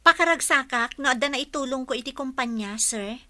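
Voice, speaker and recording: A woman's voice: high voice, brisk, sharp tone, no echo. An Ilocano-accented woman playing a polite, deferential office employee in a radio drama. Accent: Filipino (Ilocano).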